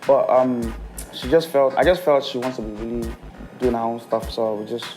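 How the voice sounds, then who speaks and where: A man's voice: medium pitch, fairly even intonation, moderate pace, over music. A man in his thirties raised in England touched by speech, chatting as a guest and laughing often. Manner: laughs often, mimics others' voices. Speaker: guest musician. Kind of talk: casual chat.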